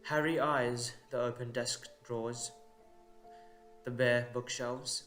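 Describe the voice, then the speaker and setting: A man narrating a scripted audio drama in a measured, dramatic way with deliberate pauses. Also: medium pitch, steady tone, a bit bright, soft background music.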